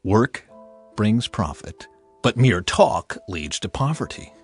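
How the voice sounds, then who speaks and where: A man reading scripture as a dramatized audiobook narrator, with a solemn, measured and expressive delivery. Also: on the low side, breathy edge, soft background music.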